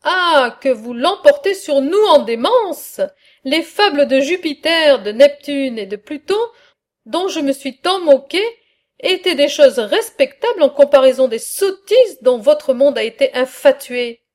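A woman reading aloud the part of a wry ancient male satirist in a philosophical dialogue, in a measured, earnest way. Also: high voice, animated, a little mellow.

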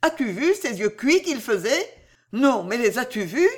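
Lady doing an eager storybook kid, boy, blurts stuff out, high and super animated. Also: measured pace. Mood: excited, adoring. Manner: excitable, blurting. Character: eager, artless boy, chattering and devoted.

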